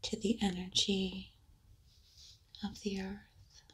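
A woman whispering a guided meditation in a slow, soothing voice, leaving long pauses between phrases. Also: mid-range voice, monotone, slightly rough. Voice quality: unvoiced whisper.

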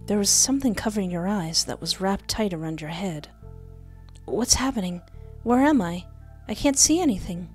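A young woman hosting a game playthrough on a close microphone, reading text aloud and adding animated, jokey asides. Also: mid-range voice, husky. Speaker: gaming video host. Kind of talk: playful let's play commentary mixed with reading game text aloud. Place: indoor home recording setup. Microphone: close desktop microphone with headset. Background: game music.

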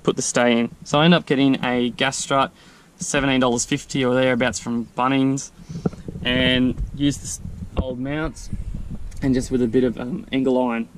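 A man casually walking through his vehicle camping setup, explaining in a relaxed, practical way with fillers. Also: medium pitch, normal speed, rough recording. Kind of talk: casual walkthrough vlog. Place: outdoors beside a vehicle in a grassy field. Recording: faint wind noise outdoors.

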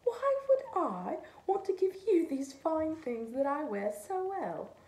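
A woman's voice: sits high, sing-song, slow, a little mellow, voice pushed up, exaggerated voice. A woman voicing a proud, vain male snowman who snarls and talks down to others. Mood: scornful. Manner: snarling, haughty. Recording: echoey room, faint ambience.

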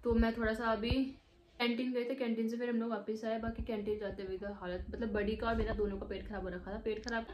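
A woman's voice: a little high, little pitch movement, fast talker, a crowd in the background. A young woman with a sore throat and a cold, chatting casually to her phone camera in a small bedroom at home, rambling and mixing in English words. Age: young adult. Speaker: vlogger. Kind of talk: casual vlog chat to camera. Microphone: phone camera at arm's length.

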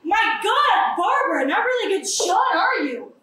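A woman acting a glib schemer, very high-pitched, echoey. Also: normal speed, heavily acted. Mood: pleading, indignant. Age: young adult. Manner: animated, flippant, theatrical. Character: glib, manipulative, callous woman with a morbid streak. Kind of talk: staged play reading, theatrical. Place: small café, live staged reading. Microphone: far camera. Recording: echoey, distant camera mic, faint noise.

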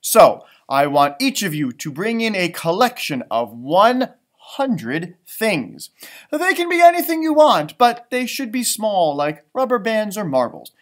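A man voicing a male schoolteacher instructing his class in a storybook, loud and deliberate, stretching words. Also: high voice, very animated, pitched above the performer's own voice. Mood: instructive.

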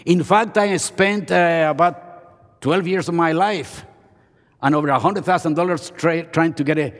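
A man's voice: a little high, a little slow, a bit bright. An older man giving a playful, self-mocking chapel sermon from a podium. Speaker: seminary professor and chapel speaker. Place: large seminary chapel auditorium. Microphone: podium microphone. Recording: long hall reverb.

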